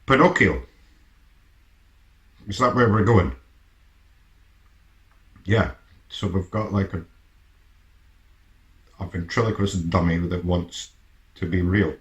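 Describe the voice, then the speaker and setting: Slow, deep-voiced middle-aged man reacting. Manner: halting, musing delivery with trailing thoughts. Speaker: host of a reaction show. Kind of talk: casual reaction commentary.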